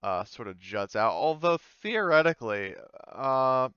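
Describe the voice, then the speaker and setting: A man acting as game master, casually narrating a tabletop game and often pausing to think aloud. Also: medium pitch, very animated, a bit bright, smooth, no room sound, highs cut off.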